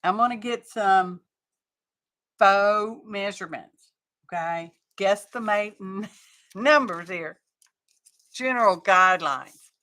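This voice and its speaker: Slow-talking, bright-voiced middle-aged woman. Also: medium pitch. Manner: chatty, rambling, talks to chat viewers. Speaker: craft live-stream host. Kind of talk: casual chat while crafting on a live stream.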